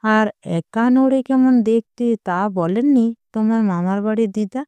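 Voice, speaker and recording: A woman storyteller voicing an amused elderly grandfather, indulgent and gently teasing, in an audio story. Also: on the high side, measured pace, clear, resonant tone, no echo, close to an everyday voice.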